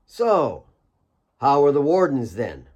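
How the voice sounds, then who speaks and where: A man's voice: mid-range voice, big pitch swings, slow, darkish tone, breathy edge, exaggerated voice. A man voicing a big, confident young male mine boss in an audiobook, blunt and sardonic. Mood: stern grilling. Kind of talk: audiobook character dialogue.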